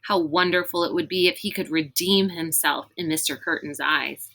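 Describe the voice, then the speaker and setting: A woman reading a children's novel aloud in an expressive, dramatic storytelling manner. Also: deep voice, moderate pace. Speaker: reader reading a book aloud.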